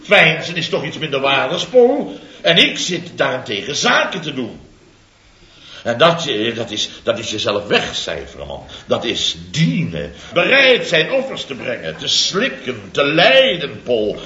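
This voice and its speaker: A theatrical, self-important boss in his fifties for a vintage radio comedy. His delivery is bright and lively, leaping between booming proclamations and low grumbles. The recording sounds dull and roomy. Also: measured pace, heavily acted. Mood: pompous. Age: 50s. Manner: bombastic, theatrical, swinging from booming to muttering. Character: pompous blustering fifty-something male building-firm boss. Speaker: company boss. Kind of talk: 1960s radio comedy acting.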